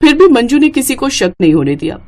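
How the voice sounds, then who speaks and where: A woman's voice: sits high, very animated, a little fast, a little mellow. A woman storyteller reading a scripted narration.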